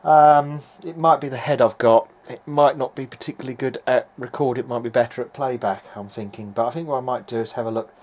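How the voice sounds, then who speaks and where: A man's voice: mid-range voice, fairly quick, few pauses, darkish tone. A man giving an informal, hesitant video-diary narration as he tests equipment, thinking aloud in a noisy amateur recording. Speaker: hobbyist electronics repairer.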